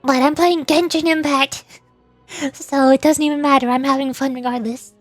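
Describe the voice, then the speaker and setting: A woman's voice: high-pitched, steady tone, normal speed, no echo, faint music behind. A woman livestreamer chatting casually over a game in a bubbly, rambling, giggly way. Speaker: livestreamer, VTuber.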